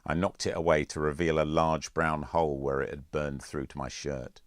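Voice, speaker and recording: A man's voice: extremely low pitch, level tone, moderate pace, no echo, clean studio sound. A man reading a scripted first-person story as an audiobook narrator. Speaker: author reading own audiobook.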